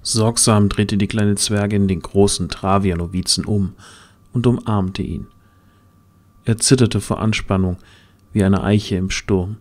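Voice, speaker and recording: A man narrating a scripted fantasy story in a measured, grave, dramatic way. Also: fairly low pitch, fairly even intonation.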